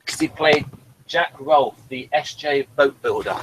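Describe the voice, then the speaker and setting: A man co-hosting a casual fan discussion of a TV series, speaking in a hesitant, halting way and often repeating himself. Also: mid-range voice, noisy background. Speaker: podcast co-host reviewing a TV series.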